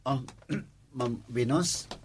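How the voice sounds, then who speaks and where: An actor with a Cebuano Filipino accent playing an anxious, stammering working-class husband in a radio drama. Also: male voice, medium pitch, extremely slow, husky, faint music behind. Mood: hesitant, nervous. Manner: stammering, hesitant. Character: anxious working-class condo worker, husband worried about wife's debts.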